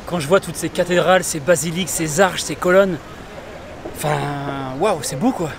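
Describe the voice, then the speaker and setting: A man's voice: on the high side, moderate pace, noisy background. A man walking outdoors in a city, chatting enthusiastically to his viewers in a casual travel vlog. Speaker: travel vlogger. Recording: music playing behind.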